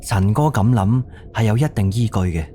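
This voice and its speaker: A man's voice: fairly low pitch, moderate pace, slight background noise. A man with a Cantonese accent narrating a scripted suspense ghost story for an audio drama. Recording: soft music under the voice. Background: background music.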